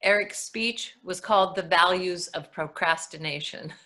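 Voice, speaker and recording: A woman's voice: fairly low pitch, a little slow, pushed and bright. A woman acting a proud, earnest mother, telling a story in a readers theatre monologue. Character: proud, earnest mother recounting her son's speech.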